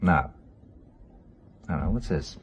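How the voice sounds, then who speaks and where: An actor playing a self-assured, urbane British spy in a radio thriller, explaining things confidently. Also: male voice, very deep voice, animated, extremely slow, slightly rough, highs cut off, heavily acted. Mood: assured, focused. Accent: British English.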